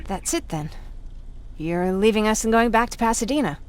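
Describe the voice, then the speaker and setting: Serious mid-pitched woman survivor played by an actress. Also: moderate pace, a little mellow, close to an everyday voice. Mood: resigned. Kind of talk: video game voice acting.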